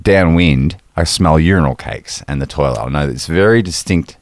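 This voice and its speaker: A man's voice: a bass voice, normal speed, dry recording, clean studio sound. A man, co-hosting a podcast, telling stories in emphatic, casual chat and laughing easily. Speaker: podcast co-host.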